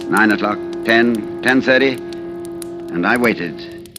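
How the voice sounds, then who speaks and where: An actor playing a betrayed husband, a bitter and quietly calculating man who speaks in a brooding, deliberate way in an old-time radio suspense drama. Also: lowish voice. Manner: brooding, deliberate confessional delivery with dramatic pauses. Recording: vintage radio broadcast recording with music.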